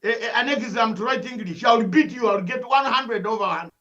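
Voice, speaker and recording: An older Ugandan-accented man with a very high, bright, forceful voice, lecturing with fervour and piling up rhetorical questions. He comes through a dull, lo-fi remote link. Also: moderate pace. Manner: emphatic, preachy, full of rhetorical questions and repetition. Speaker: analyst guest. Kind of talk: impassioned interview commentary.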